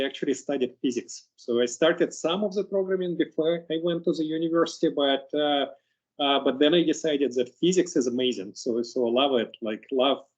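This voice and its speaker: A man from Russia with a Russian accent giving a keynote talk, halting and often repeating words. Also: medium pitch, muffled recording. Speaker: keynote speaker, engineering executive.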